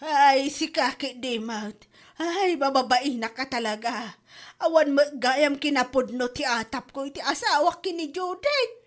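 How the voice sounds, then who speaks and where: A woman's voice: high voice, lively intonation, unhurried. A woman with an Ilocano accent playing a hot-tempered, nagging wife in a comedy drama, exclaiming and complaining. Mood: embarrassed, upset.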